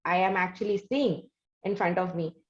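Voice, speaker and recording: A woman's voice: on the low side, normal speed. A woman with an Indian accent interviewing conversationally, circling toward her questions in long, repetitive sentences. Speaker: interviewer, host. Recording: echoey room, slightly dull sound.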